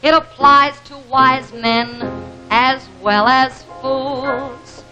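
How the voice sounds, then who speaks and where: A woman singing a slow ballad with long, sustained phrasing. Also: high-pitched, steady tone, music in the background. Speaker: singer. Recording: dull old archive recording. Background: musical accompaniment.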